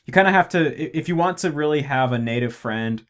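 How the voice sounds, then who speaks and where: Man hosting a relaxed interview. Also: fairly high pitch, fast, smooth. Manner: relaxed, thoughtful, some "um" and restarts. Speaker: host, interviewer. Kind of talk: casual interview chat.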